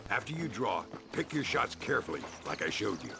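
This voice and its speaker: Low, creaky gunslinger mentor. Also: male voice, big pitch swings, a little fast, noisy background. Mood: instructive. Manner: wry, folksy, instructive. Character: easygoing, canny Old West gunslinger mentor. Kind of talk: video game cutscene acting.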